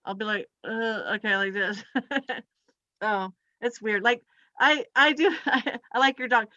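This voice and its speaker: A woman performs loose, conversational stand-up in a bright, forward voice. She talks haltingly, drifting between thoughts and giggling at her own remarks. The sound is dry and slightly dull, like a lo-fi recording. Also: fairly high pitch, no room sound. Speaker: stand-up comedian and chiropractor. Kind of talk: rambling conversational stand-up set.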